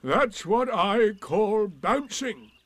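A man voicing a gloomy old donkey in a storybook reading, gruff, dryly sarcastic and mournfully aggrieved. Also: high voice, sing-song, dry recording, voice pushed up, full character voice. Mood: glum, grumbling. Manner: slow, drawn-out, mournful complaining.

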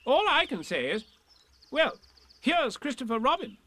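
A man voicing a fussy, self-important male rabbit in a storybook reading, speaking briskly and officiously. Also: extremely high pitch, big pitch swings, pushed and bright, voice pushed up, exaggerated voice.

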